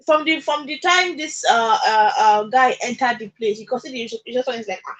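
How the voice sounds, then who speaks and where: A woman with a Nigerian accent and a high-pitched, husky voice talks as a guest on a radio talk show. She argues her case conversationally and emphatically, repeating key phrases and checking that the listener follows. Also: fairly quick, fairly bright tone, muffled recording. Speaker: radio guest discussing social issues. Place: radio studio.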